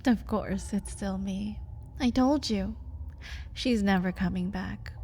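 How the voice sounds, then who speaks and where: A woman's voice: slow, dark tone, a little hiss or hum. A woman playing a feral, hungry vampire wife in an ASMR roleplay, mocking and manipulative in manner. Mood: sly, taunting. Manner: taunting, sardonic, swings from low menace to pleading.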